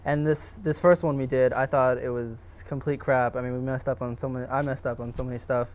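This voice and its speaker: A man's voice: medium pitch, steady tone, fairly quick. A young man, a student filmmaker, answering interview questions casually in a hesitant, self-deprecating way. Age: young adult.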